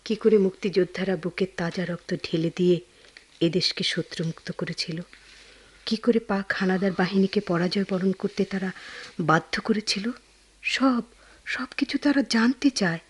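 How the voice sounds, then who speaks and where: An actress with a Bangladeshi accent playing an earnest, devoted, quietly lonely woman, with soft, heartfelt radio drama delivery. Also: mid-range voice, moderate pace, slightly rough, natural voice. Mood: earnest, admiring. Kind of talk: Bengali radio drama acting.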